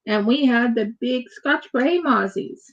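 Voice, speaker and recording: A woman's voice: a little high, measured pace, dull audio. An American woman chatting casually and rambling as she crafts on a livestream, often repeating her words. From United States. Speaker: craft streamer.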